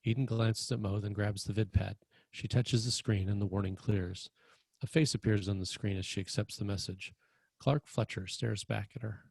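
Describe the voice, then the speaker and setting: A man's voice: lowish voice, steady tone, normal speed, gravelly, darkish tone, slightly rough, no echo, rough recording. A man narrating scripted screenplay stage directions at a table read in a matter-of-fact way.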